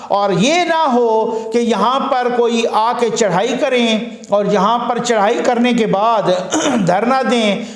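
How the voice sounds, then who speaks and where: High-voiced man giving political commentary. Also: echoey room. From Pakistan. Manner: earnest, rhetorical, repeats phrases in lists. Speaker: news commentator.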